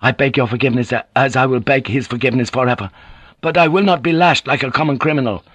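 A man's voice: medium pitch, quick pace, a bit bright, no room sound, lightly acted. A male narrator acts a young Jesuit brother of samurai birth in a dramatic storybook reading. He is proud and impassioned, keeping polite forms while pressing his point bluntly, in a dry recording. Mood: contrite but proud. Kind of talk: dramatic audiobook character acting.

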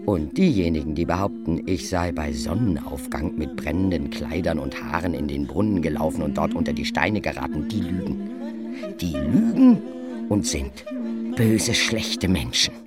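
A man's voice: extremely low pitch, very animated, normal speed, over loud music. An actor playing a grim, brooding, bitter man in a radio drama, theatrical and emphatic. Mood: bitter, defiant. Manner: theatrical, emphatic, swinging from murmur to outburst.

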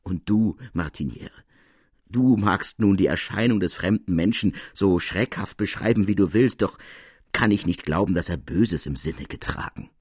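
Man reading as a dignified old noblewoman, low-voiced. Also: a little mellow, husky, no echo. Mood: amused, dismissive. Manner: measured, articulate, courtly speech. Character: dignified witty 73-year-old French noblewoman, unafraid, self-deprecating.

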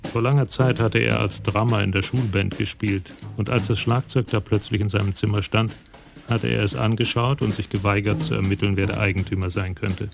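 A man narrating a radio crime play in a wry, measured storytelling manner. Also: lowish voice, steady tone, creaky, over music. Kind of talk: scripted radio drama narration.

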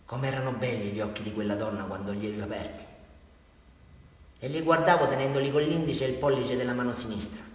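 An actor playing a deranged, naive murderer with a southern Italian accent, rambling and repeating himself childishly in a theatrical radio drama confession. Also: male voice, medium pitch, quick pace, natural voice. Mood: tender, dreamy. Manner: rambling, repetitive, childlike, addressing his listener. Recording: very echoey hall, far from mic.

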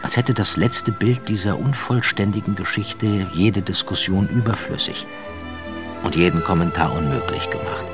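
A man acting as a solemn narrator, reading a literary tale in measured radio drama style. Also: deep voice, close to an everyday voice. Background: loud music.

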